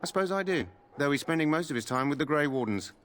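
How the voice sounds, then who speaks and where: A man's voice: on the high side, expressive, fast, a bit bright. A man playing a dutiful but gossipy royal guard in a fantasy video game, formal and matter-of-fact. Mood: dutiful, confiding.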